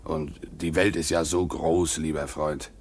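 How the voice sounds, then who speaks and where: A man's voice: extremely low pitch, flat delivery, unhurried, slightly rough, heavily acted. A man playing a stolid, fatalistic ship stoker in a German radio play, laconic and colloquial with dry irony. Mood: sardonic. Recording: faint background noise on radio recording.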